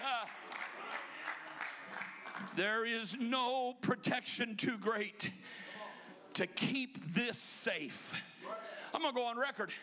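A man in his fifties preaching a sermon in a church sanctuary, emphatic and often shouting, with quick joking asides. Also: very high-pitched, a crowd in the background, noisy background. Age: 50s. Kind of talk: energetic Pentecostal sermon. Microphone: handheld microphone.

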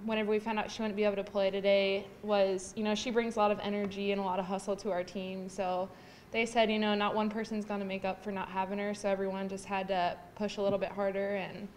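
A woman's voice: mid-range voice, a little hiss or hum. Young woman athlete at a press table. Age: young adult. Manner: measured, with frequent fillers. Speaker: college basketball player. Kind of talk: postgame press conference answers. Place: press room, indoors. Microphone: table mics in front.

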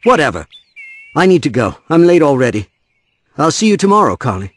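A man's voice: fairly high pitch, very animated, brisk, a little hiss or hum. A man playing a harried, defensive divorced father in an audio drama, weary and impatient. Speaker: voice actor.

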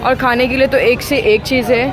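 A woman narrating a casual travel vlog outdoors at night, chatty and full of excited exclamations. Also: a little high, brisk. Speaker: vlogger. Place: outdoors at a crowded beach at night. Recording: crowd noise behind. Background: crowd chatter.

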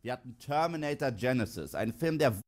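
A man's voice: medium pitch, lively intonation, fairly quick. A man in his thirties presenting scripted film commentary to camera, emphatic and tongue-in-cheek. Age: 30s. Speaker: online film critic and presenter. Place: indoor studio with dark backdrop.

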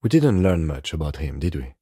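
Composed police detective, video game dialogue, husky, dark tone, close dry recording, restrained, dutiful. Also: male voice, extremely low pitch, very animated, dry recording, full character voice. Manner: measured, restrained, matter-of-fact.

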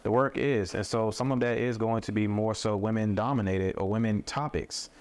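Low-pitched guy talking it through slowly, lots of ums and restarts. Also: steady tone, darkish tone, clear, resonant tone. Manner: thoughtful, with frequent fillers and restarts. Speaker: mental health content creator. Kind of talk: casual conversation. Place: studio set with a table.

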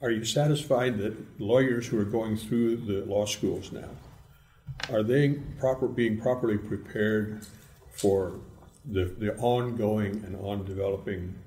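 A man co-hosting a podcast, asking measured questions. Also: on the low side, steady tone, mellow, echoey room. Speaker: podcast co-host. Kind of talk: podcast interview.

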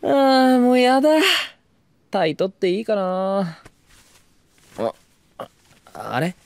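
Eager, lively, devoted young man. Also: high-pitched, slow pace, gravelly, no echo, full character voice. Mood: relaxed, whiny. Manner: pleading, excitable, murmuring inner monologue. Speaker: voice actor. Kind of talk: Japanese BL drama CD acting.

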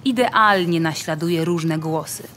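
A woman reading scripted, storybook-like narration for an audio play in a measured, deliberate way. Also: sits low, a bit bright, slight background noise.

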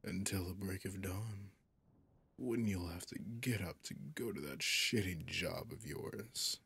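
A man playing a possessive, mocking male demon in an ASMR roleplay, seductive and sinister, with a breathy, often whispering, teasing drawl. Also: deep voice, fairly bright tone, exaggerated voice. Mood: teasing menace.

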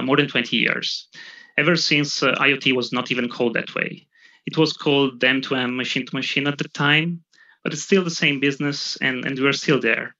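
A man with an Italian accent gives a webinar talk in a bright, gravelly voice, stopping often to restart his sentences. The video call audio is dull and lo-fi. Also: mid-range voice. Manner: halting, many fillers and restarts. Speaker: product marketing head presenting. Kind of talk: webinar presentation. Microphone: webcam video call.

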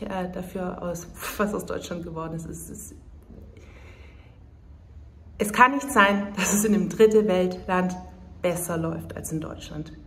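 A woman's voice: medium pitch, husky. A woman in her thirties talking casually to her phone camera at arm's length, chatty and at times indignant. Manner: chatty, indignant when complaining. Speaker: vlogger. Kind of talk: casual vlog talk to camera. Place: indoors at home and in shops, some outdoors.

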